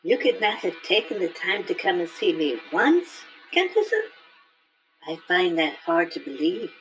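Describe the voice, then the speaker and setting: Brief: woman as jilted fiancée, pleading, bright tone. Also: on the low side, sing-song, normal speed, muffled recording, faint music behind. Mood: hurt. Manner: reproachful, pleading.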